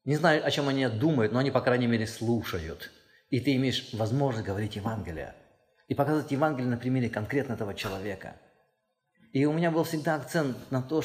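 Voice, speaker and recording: Male pastor, mid-range voice, earnest sermon delivery that builds in emphasis, long reverb of a church hall. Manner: earnest, emphatic, rises in pitch and force when stressing a point.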